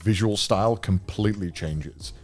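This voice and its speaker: A man co-hosting a podcast, chatting casually with friends, halting and laughing often. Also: fairly low pitch, faint music behind. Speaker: podcast co-host. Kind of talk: casual chat.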